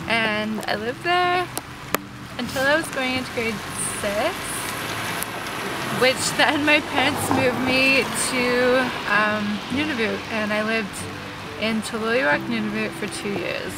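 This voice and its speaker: A young woman from Canada, a university student, chattily telling her life story in quick bursts. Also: on the high side, heavy background noise. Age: young adult. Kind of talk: personal story told to camera. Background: background music.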